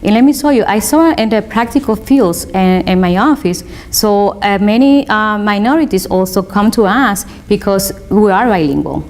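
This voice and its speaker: Woman, Spanish accent, law student in an interview; sincere, encouraging, halting second-language phrasing, mid pitch. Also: a little mellow. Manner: earnest and motivational, halting non-native phrasing. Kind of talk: interview, personal testimony.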